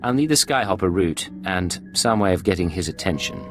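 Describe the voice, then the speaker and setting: A man's voice: sits low, animated, a little fast, music in the background. A man playing a cool, skeptical secret agent in a video game, dry and businesslike.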